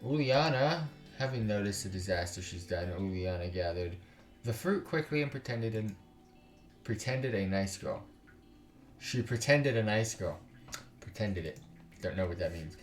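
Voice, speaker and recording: A man's voice: lowish voice, expressive, moderate pace. A man reading a visual novel aloud as a gaming YouTuber, breaking off for slangy, sweary, incredulous asides. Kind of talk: visual novel read-aloud with casual commentary. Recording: roomy echo, distant mic, music behind. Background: music.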